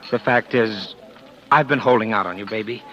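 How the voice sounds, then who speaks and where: A man's voice: lowish voice, moderate pace, fairly bright tone, close to an everyday voice. An actor playing a guarded, well-off bachelor wooing a woman in a 1940s radio drama, casual and evasive. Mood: confessional, tender. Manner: casual, evasive, courting endearments. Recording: dull vintage 1940s radio recording.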